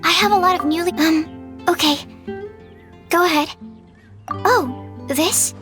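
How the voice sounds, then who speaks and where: Game role: timid young alchemist stallkeeper, high-pitched, earnest and hesitant, soft music behind. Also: female voice. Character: shy, polite young female alchemist running a stall. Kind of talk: video game character voice acting.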